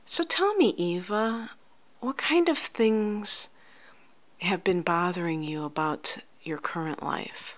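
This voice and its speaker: Soft-spoken woman for ASMR coach roleplay; lowish pitch, very slow, gentle and soothing delivery. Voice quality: soft, often near-whispered. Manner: gentle, unhurried, soothing, addressing the listener directly. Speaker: ASMR host playing a life coach.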